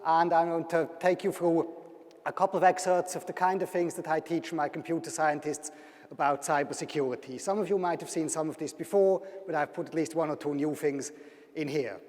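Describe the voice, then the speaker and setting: A man's voice: fairly high pitch, fairly even intonation, brisk, darkish tone. A man with a Swiss German accent giving a public lecture, telling stories with dry humour. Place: large lecture hall. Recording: hall reverb.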